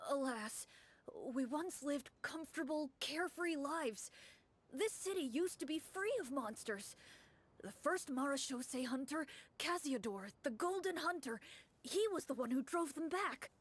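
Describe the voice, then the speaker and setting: A woman's voice: sits high, expressive, unhurried. A woman voicing an anxious, grateful townsman in a game, speaking in a flustered, earnest and theatrical way. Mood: earnest worry.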